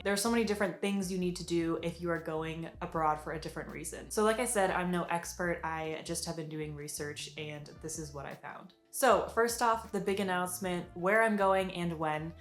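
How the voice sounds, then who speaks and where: A woman's voice: lowish voice, fairly even intonation, normal speed, few pauses, roomy sound, faint music behind. A young American woman chatting upbeat and casual in an advice vlog, with lots of fillers. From United States. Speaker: vlogger.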